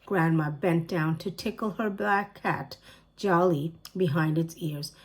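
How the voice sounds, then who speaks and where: A woman teacher reading a children's chapter book aloud, clear and expressive. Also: on the low side, measured pace. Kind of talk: storybook read-aloud.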